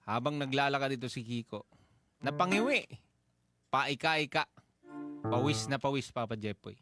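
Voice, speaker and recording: A man's voice: mid-range voice, normal speed. A man with a Filipino accent, a radio host telling a comic story in playful, teasing banter that breaks easily into laughter. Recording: music playing behind the voice. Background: loud music bed.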